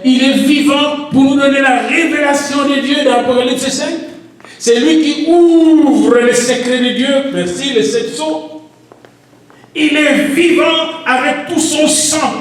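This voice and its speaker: A male pastor preaching a sermon in French that is not his first language, building to shouted refrains. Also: very high-pitched, slow. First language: an African language. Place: church. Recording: echoey hall, voice from far off.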